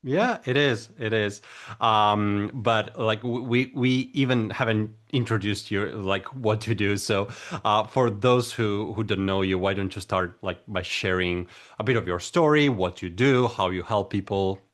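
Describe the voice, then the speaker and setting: A man's voice: lowish voice, measured pace, fairly bright tone. Hesitant male podcast host. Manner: hesitant, repeats words while asking questions. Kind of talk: podcast interview.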